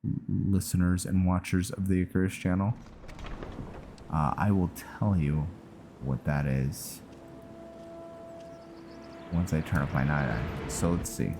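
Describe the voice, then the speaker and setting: Casting: male gaming host, deep voice, unhurried, rambling roleplay commentary over game music. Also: fairly even intonation. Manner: musing, rambling, talking to viewers.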